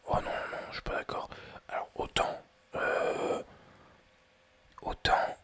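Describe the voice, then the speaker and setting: A man in his twenties whispering ASMR-style as he thinks aloud through quiz questions, hesitant and rambling with many pauses and restarts. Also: fairly bright tone. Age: 20s. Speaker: ASMR creator.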